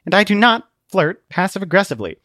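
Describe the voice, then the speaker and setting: A man's voice: high voice, big pitch swings, quick pace, sharp tone, no room sound, studio quality, pitched above the performer's own voice, heavily acted. A man voicing a haughty, easily irritated woman in an audiobook, with a prim, condescending manner. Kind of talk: audiobook character voice.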